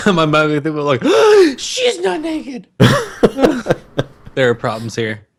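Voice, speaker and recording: A man's voice: sits high, wildly swooping pitch, slow, vocal fry, husky. A man co-hosting a podcast, joking casually about films in crude, slangy language. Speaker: podcast co-host.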